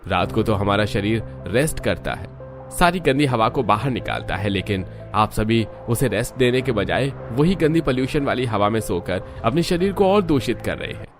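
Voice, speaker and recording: A man's voice: fairly low pitch, lively intonation, moderate pace, over music, lightly acted. Man playing an earnest, concerned village doctor. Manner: earnest, explanatory. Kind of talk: cartoon moral story acting.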